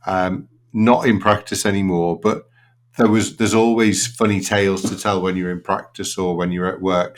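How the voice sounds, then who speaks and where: A man hosts a veterinary podcast over a video call. His voice sits low, and he talks in an easy, rambling way, with plenty of ums and side remarks between his questions. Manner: relaxed and meandering, with many ums and asides. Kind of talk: conversational interview hosting.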